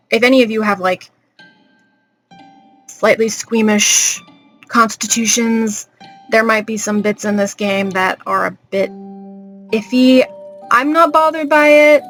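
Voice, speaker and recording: A woman's voice: fairly high pitch, fairly even intonation, measured pace, long pauses, a bit bright. A woman hosting a let's play, chatting informally and in a rambling way straight to viewers. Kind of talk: casual, chatty gameplay commentary. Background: game music.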